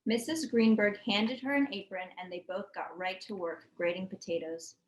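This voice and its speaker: A woman reading a children's picture book aloud with expressive storybook narration. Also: medium pitch, moderate pace, slight background noise. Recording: echoey room.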